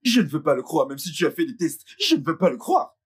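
An actor dubbing a distraught husband who stammers and insists bitterly. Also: male voice, a little high, wildly swooping pitch, fairly quick, breathy edge, full character voice. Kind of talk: dubbed film acting.

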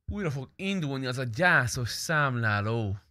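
A man's voice: medium pitch, animated, bright, forceful tone, no echo, studio quality. A young man chatting casually and informally while streaming a roleplay game, swearing freely. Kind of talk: casual gaming chat. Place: indoor streaming room at home.

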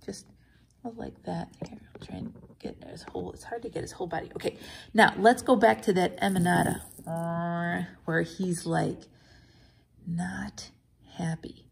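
A woman's voice: lowish voice, fairly even intonation, unhurried, gravelly, a little hiss or hum. An American woman teaching young children through a read-aloud and drawing lesson, with encouraging, chatty asides. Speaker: teacher reading to a kindergarten class.

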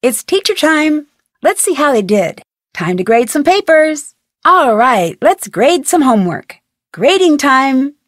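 A woman with an American accent playing a plucky, curious teenage girl detective in a video game, thinking aloud in a clear, chipper way. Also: high-pitched, sing-song, a little slow, no room sound, studio quality. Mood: cheerful, excited. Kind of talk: scripted video game voice lines.

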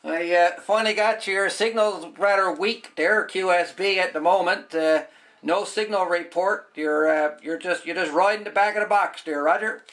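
A man's voice: high voice, moderate pace, fairly bright tone. A man working as an amateur radio operator, talking casually and informally with spelled-out phonetic call signs. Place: indoors at a home radio desk.

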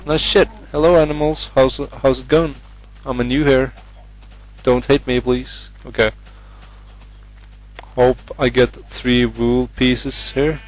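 A young man from Norway speaks English with a Norwegian accent while commentating a game. His delivery is flat and even, with little rise and fall. He hesitates often, filling gaps with ums and uhs as he chats. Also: mid-range voice, poor audio. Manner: hesitant, many fillers, chatty. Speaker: gamer.

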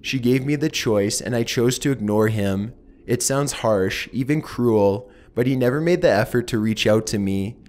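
A man narrating horror stories in a measured, somber, deliberate manner as the host of his channel. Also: medium pitch, darkish tone, slight background noise. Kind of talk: scripted horror story narration.

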